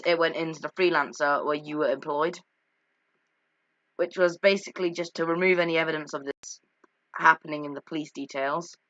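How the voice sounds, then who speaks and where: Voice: mid-range voice, a bit bright, dry recording. A casual, unscripted game commentator who talks hesitantly, often correcting and putting themselves down. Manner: hesitant, self-correcting, self-deprecating. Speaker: video creator commentating on game cutscenes. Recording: slightly dull lo-fi home mic.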